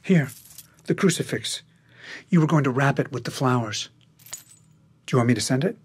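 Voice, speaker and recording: An actor playing a careful, placating middle-aged husband in a naturalistic radio drama, speaking tentatively and haltingly. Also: male voice, medium pitch, husky. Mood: careful, concerned.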